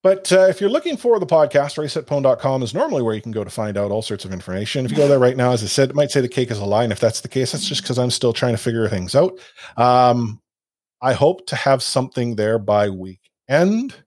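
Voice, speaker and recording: A man's voice: mid-range voice, animated, quick pace, darkish tone, no room sound, clean studio sound. A man hosting a casual esports podcast, rambling and self-deprecating with many fillers and restarts. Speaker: podcast host.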